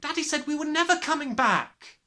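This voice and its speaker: A man acts out a precocious little storybook girl. The voice is pitched up, bright and very lively, with an earnest, childlike keenness. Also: moderate pace, exaggerated voice. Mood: alarmed.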